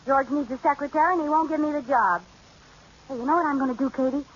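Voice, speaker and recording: Brief: actress playing a giddy, scheming young wife, bright high voice, flustered wisecracks, dull old radio sound with faint hiss. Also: female voice, fast, natural voice. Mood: eager. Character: scatterbrained young housewife scheming for a job. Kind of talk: 1940s radio comedy acting.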